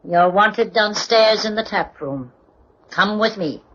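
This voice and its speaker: An actor playing a curt, menacing colonial henchman in old-time radio drama, deliberate and formal. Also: high voice, fairly bright tone, dull audio, exaggerated voice.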